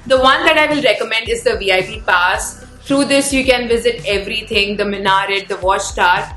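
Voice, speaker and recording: A woman's voice: mid-range voice, brisk, bright, forceful tone. A young woman with an Indian accent narrating a travel vlog as its presenter. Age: young adult. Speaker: travel vlogger. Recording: roomy, music underneath. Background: background music.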